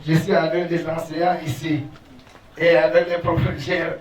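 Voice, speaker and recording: A preacher with Arabic as his first language, reciting prayers in Arabic at speed and then explaining them slowly in halting French at a gathering. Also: a little high, little pitch movement. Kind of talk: sermon. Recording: roomy, distant, dull sound.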